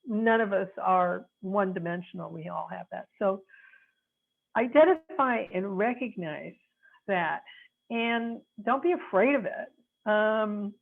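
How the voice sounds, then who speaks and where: A woman's voice: medium pitch, slow pace, vocal fry. A woman in her sixties from the American Midwest, an attorney giving earnest, frank advice while searching for her words. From North Chicago, USA. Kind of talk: reflective advice talk. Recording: tinny phone-like line.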